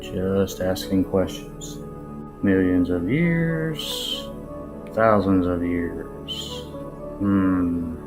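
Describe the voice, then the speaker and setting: Slow, deep-voiced man musing over music. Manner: musing, halting, with rhetorical questions. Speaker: video narrator. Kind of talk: casual unscripted commentary over images. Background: new-age music.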